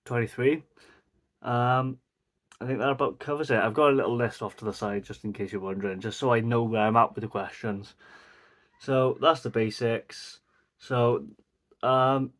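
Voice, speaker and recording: A man's voice: medium pitch, fairly bright tone. Rambling young Welsh man. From South Wales. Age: 20s. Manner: rambling, lots of fillers and asides. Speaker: vlogger. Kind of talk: rambling casual Q&A vlog. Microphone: mic facing camera.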